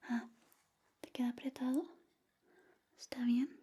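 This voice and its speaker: A woman playing a timid, apologetic girlfriend in an ASMR roleplay, in a soft, hesitant whisper. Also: high voice, level tone, measured pace, slightly rough, exaggerated voice. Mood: timid, eager. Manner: hesitant, meek.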